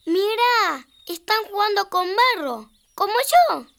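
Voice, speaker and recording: Little girl, Argentine accent, very high bright voice, curious, stubborn, childlike, children's radio play. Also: very animated, takes it slowly, creaky, full character voice. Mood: excited. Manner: childlike, eager questioning. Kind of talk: children's radio theatre acting.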